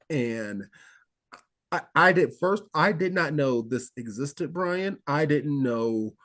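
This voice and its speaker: A man, a guest actor, recalling a film shoot in an interview, enthusiastic and rambling with restarts. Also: on the high side. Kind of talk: interview recollection.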